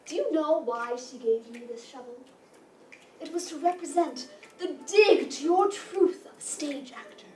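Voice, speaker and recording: A woman's voice: sits high, measured pace, warm tone. A woman in a staged play reading playing a pompous older sister who fancies herself a grand stage actress, with dramatic enunciation. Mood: indignant, haughty. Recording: roomy, distant mic, faint noise.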